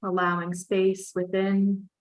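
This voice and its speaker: A woman leads a breathing exercise in an even, level voice. She gives each calm instruction at an unhurried pace, with little rise or fall in pitch. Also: low-quality recording. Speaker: guided breathing leader. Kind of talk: guided relaxation.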